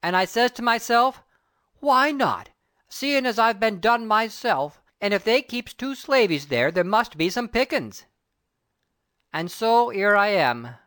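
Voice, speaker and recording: A woman voicing a sentimental male burglar, talking loudly. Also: fairly high pitch, animated, measured pace, no echo, studio quality, pitched above the performer's own voice. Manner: loud, rough working-class speech.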